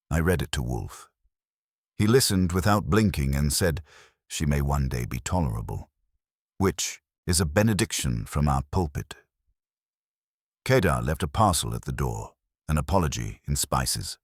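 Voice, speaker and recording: A man's voice: extremely low pitch, no echo, clean studio sound. A man narrating a scripted first-person detective story as an audiobook.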